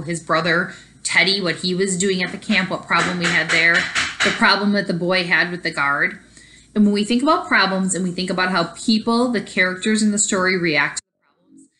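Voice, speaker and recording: A woman's voice: on the low side, pushed and bright, a little hiss or hum, poor audio. A woman teacher reading a children's story aloud expressively and explaining it to young pupils. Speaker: elementary school teacher.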